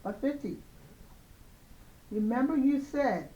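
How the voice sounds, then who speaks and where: Female commentary host, soft-edged mellow tone with slight hoarseness, casual opinionated delivery, roomy echoing sound. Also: high voice, lively intonation, takes it slowly, dull audio.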